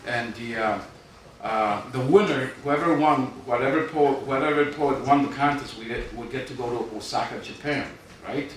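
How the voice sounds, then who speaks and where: A middle-aged man reading his poetry live, with informal asides and a wry, deadpan storytelling manner. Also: mid-range voice, normal speed. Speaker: poet. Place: live event in a reverberant room, audience present. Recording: very echoey hall, distant, noisy.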